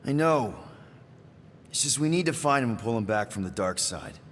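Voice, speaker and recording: An actor playing an earnest, loyal, wisecracking young space-crew leader in a video game, talking casually. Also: male voice, medium pitch, expressive, normal speed, slight background noise, natural voice. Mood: earnest urging. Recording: reverberant, loud music behind.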